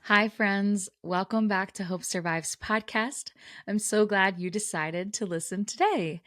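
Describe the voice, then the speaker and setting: A woman's voice: mid-range voice, measured pace, fairly bright tone. A woman podcast host, a little scratchy and tired from a cold, talking candidly and casually with frequent laughs. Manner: candid, chatty, laughs often.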